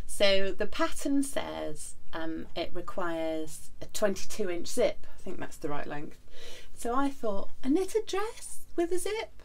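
A woman in her forties, hoarse from the flu, chatting casually to camera in her home sewing room. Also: mid-range voice. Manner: chatty, friendly, many ums and asides. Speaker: sewing vlogger. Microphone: camera in front at desk.

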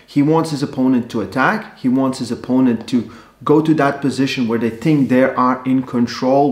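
Man, echoey living room. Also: mid-range voice. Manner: animated, gesturing, conversational with fillers. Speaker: arm wrestling coach and analyst. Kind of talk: casual post-match analysis. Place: living room, seated on a sofa.

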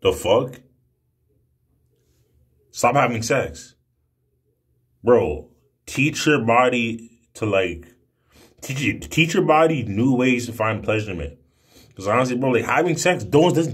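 A man's voice: mid-range voice, animated, slow pace, pauses often, slightly rough. A man in his twenties talking casually to camera in a brash, emphatic, slangy way with heavy swearing. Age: 20s. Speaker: video blogger. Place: small room indoors. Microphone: phone at arm's length.

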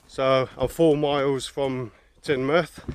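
A man's voice: medium pitch, fairly even intonation, slow pace, clear, resonant tone. A man, chatting to camera as he hikes a coastal path. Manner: chatty, plain-spoken, talking while walking. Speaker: hiking vlogger. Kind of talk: casual walking vlog to camera. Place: outdoors on a wooded coastal cliff path. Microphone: handheld camera held at arm's length.

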